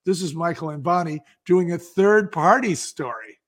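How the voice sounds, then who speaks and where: A man's voice: on the high side, expressive, moderate pace. A man talking as a guest in a casual interview, telling stories about business with enthusiastic, salesmanlike delivery. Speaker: podcast guest, business founder. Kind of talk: casual interview talk, storytelling.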